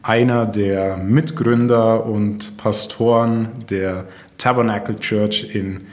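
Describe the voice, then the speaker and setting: A man, a pastor and church co-founder, chatting casually on a podcast, relaxed and laughing often, thinking aloud. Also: lowish voice, slow pace. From Germany. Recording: roomy echo.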